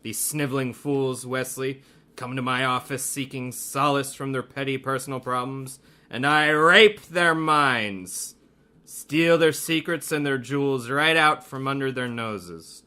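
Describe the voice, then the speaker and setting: Man playing a slow, grandiose villain mastermind. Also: mid-range voice, bright, forceful tone. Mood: gloating, grandiose. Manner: grandiose, theatrical, deliberate. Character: gloating criminal mastermind, male hypnotist doctor, grandiose and greedy. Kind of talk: radio mystery drama acting.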